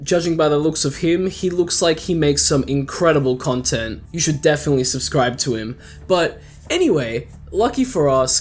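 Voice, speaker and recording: A man with a fairly high-pitched voice narrates a casual video essay over a faint music bed. He sounds conversational and wry, often breaking into his own sentences with little asides, in the manner of an online video host. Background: background music.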